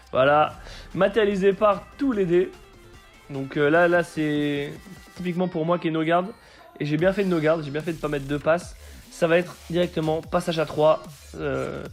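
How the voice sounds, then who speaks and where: A man with a hoarse voice from a cold, commentating a card game match he played and explaining each play step by step. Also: high-pitched. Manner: explains plays step by step with frequent fillers.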